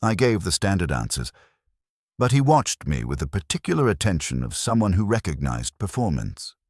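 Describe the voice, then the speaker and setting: A man narrating as a very deep-voiced, hollow warrior nun. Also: big pitch swings, normal speed, darkish tone, slightly rough, no room sound, studio quality, exaggerated voice. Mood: bleak, detached. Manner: detached, solemn, clipped sentences. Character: hollow, faithless warrior nun recounting her grim life. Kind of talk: scripted first-person dramatic narration.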